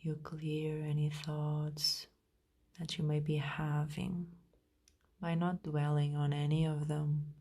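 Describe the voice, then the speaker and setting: Low, slow, mellow woman guiding meditation. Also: completely flat delivery. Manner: gentle, soothing, unhurried with long pauses. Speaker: meditation guide, podcast host. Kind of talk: guided meditation narration.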